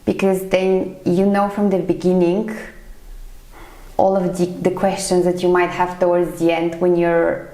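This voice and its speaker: A woman's voice: fairly low pitch, flat delivery, unhurried, warm tone, echoey room, poor audio. A woman from Bulgaria in her thirties, a finance professional, answering interview questions in a thoughtful, hesitant way with many fillers. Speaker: chief financial accountant, interview guest. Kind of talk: casual podcast interview.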